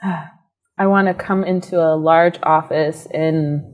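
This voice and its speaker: A young woman entrepreneur answers interview questions in a casual, reflective way, pausing and restarting as she thinks. Her voice sits low for a woman. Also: steady tone. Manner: reflective, hesitant, many fillers and restarts, laughs easily.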